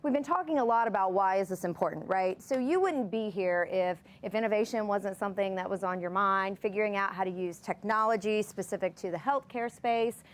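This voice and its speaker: A woman presenting at a conference, informal and conversational, with halting starts to her sentences. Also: medium pitch.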